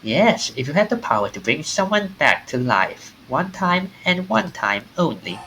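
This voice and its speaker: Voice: medium pitch, very animated, a little slow, sharp tone, slight background noise, heavily acted, rough recording. A streamer reading a mysterious, courteous narrator of ghostly tales aloud, in a formal, theatrical manner with small stumbles. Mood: solemn. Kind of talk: reading visual novel dialogue aloud.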